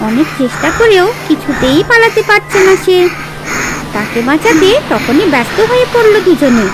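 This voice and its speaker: A woman narrating a children's fairy tale in a scripted, expressive and dramatic storytelling style, with music underneath. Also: very high voice, moderate pace, poor audio.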